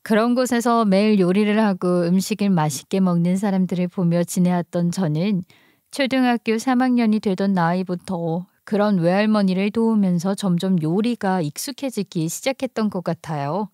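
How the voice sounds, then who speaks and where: A woman's voice: medium pitch, moderate pace, warm tone, no echo, studio quality. A woman narrating a scripted first-person story.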